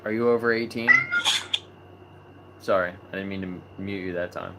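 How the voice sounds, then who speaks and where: A man with a fairly low voice hosts a live online chat. He talks in a loose, relaxed way, full of ums and small restarts. A steady noise sits under the recording. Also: moderate pace. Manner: relaxed, chatty, many fillers. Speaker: host of a live video chat about animal rights. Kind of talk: casual livestream chat.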